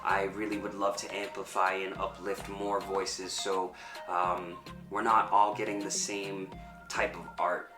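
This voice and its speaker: A man's voice: low-pitched, little pitch movement, unhurried. A man giving thoughtful, reflective interview answers as a performer. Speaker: performer and fight choreographer. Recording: roomy echo with music underneath. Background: background music.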